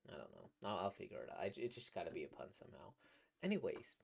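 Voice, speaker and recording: A man with a slightly squeaky, trebly voice, a voice actor casually reacting to comics in a rambling, playful way. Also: wildly swooping pitch, fast, creaky, darkish tone, slight background noise. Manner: rambling, playful, laughs at his own jokes.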